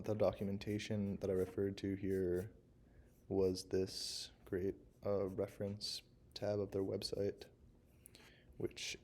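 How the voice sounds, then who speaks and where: Hoarse young man, flat and slow. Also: fairly low pitch, a little hiss or hum. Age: young adult. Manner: halting, unscripted, frequent pauses. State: hoarse, froggy sore-throat voice. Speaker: university student presenter. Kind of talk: recorded class presentation, screen walkthrough.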